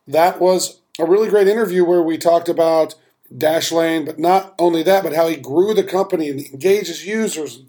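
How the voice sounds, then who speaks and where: A male podcast co-host banters and jokes his way through the show. His voice is a touch hoarse and sits on the higher side for a man. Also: moderate pace, mellow. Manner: jokey, playful. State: slightly hoarse. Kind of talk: casual chat.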